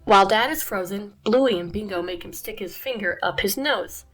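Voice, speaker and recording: A woman reading a children's picture book aloud, animated and expressive, her voice a little tired. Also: medium pitch, moderate pace, faint music behind. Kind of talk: read-aloud narration.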